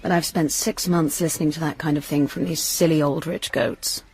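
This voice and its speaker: Casting: bold young English socialite, low register, brisk and teasing, radio drama. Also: female voice, steady tone, darkish tone, slight background noise. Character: bold, teasing 29-year-old socialite actress.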